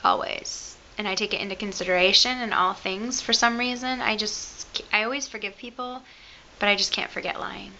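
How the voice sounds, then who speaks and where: A woman's voice: mid-range voice, fairly even intonation, moderate pace, bright, forceful tone, lo-fi sound, slight background noise. A young woman with a Michigan accent, rambling and joking about herself as she talks to the camera in her bedroom. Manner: chatty, self-mocking, rambling asides. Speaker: beauty vlogger. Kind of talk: casual chat to camera. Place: bedroom at home, indoors. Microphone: camera close to the face.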